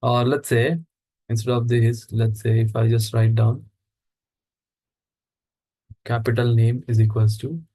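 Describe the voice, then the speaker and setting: A man teaching an online coding class, explaining each step slowly and checking in with his students. His voice sits at an ordinary middle pitch. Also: level tone, dark tone. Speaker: instructor. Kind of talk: live coding lesson.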